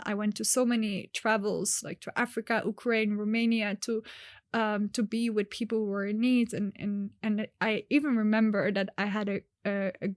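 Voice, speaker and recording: A woman's voice: mid-range voice, no room sound, clean studio sound. A woman coach raised in Nepal, speaking earnestly and expressively in a conversational interview, with emphatic points.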